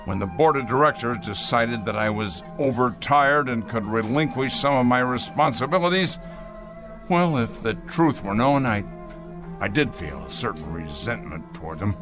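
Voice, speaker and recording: An actor playing a pompous, bitter ousted company boss in a science-fiction drama, neurotic, halting and imperious. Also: male voice, medium pitch, animated, over music, natural voice. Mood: wounded, resentful. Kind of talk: classic science-fiction radio drama acting.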